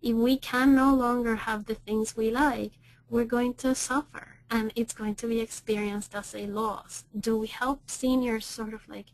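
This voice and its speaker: A woman's voice: on the high side, fairly even intonation, a little slow, low-quality recording. A woman clinical educator presenting a webinar in a conversational way, with frequent ums and self-corrections.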